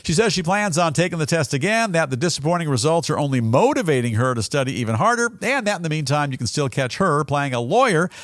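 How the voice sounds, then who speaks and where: A man's voice: fairly high pitch, big pitch swings, quick pace, few pauses, fairly bright tone, dry recording, clean studio sound. An American man hosting a jokey news roundup in a folksy, playful, theatrical way. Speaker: news show host.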